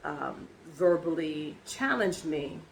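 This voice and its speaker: A woman with a hoarse voice from laryngitis, chatting casually in a personal vlog and rambling with many ums and restarts. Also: low voice, expressive, slow pace, a little hiss or hum, low-quality recording. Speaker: vlogger.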